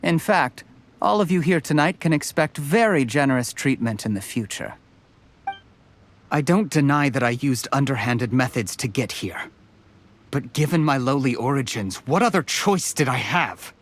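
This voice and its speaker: A man's voice: medium pitch, animated, moderate pace, natural voice. An actor playing a polite, evasive young official who pleads his case. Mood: pleading. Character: smooth-talking ambitious young male official. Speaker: game character.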